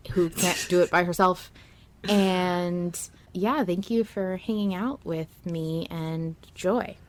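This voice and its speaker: A woman hosting a podcast in a casual fan-chat style, talking in an excitable, emphatic way. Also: fairly low pitch. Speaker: podcast host.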